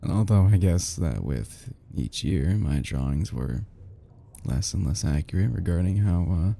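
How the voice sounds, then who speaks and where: A man playing an obsessive, lovesick young yandere kidnapper in an ASMR roleplay drama, stammering and sighing with halting, fragile tenderness, often dropping into breathy half-whispers. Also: deep voice, animated, dark tone, heavily acted. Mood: shy, adoring.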